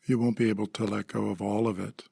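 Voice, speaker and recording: A man guides a meditation calmly, leaving pauses between short phrases. His voice is low and very husky with a lot of creak, and it stays even. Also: dry recording, clean studio sound. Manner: calm, gentle, measured phrasing with pauses.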